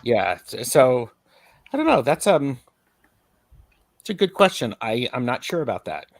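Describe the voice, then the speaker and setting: A man's voice: medium pitch, very animated, measured pace, creaky, dry recording. Chatty man telling stories. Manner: chatty, storytelling with acted asides. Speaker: co-host, tarot reader. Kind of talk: casual chat.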